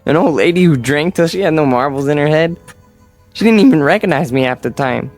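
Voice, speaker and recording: An actor playing a needy, insecure young man in a radio drama, speaking in a pleading, halting, emotional way. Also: on the high side, expressive, dry recording, faint music behind. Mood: pleading, desperate. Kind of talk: vintage-style radio drama acting.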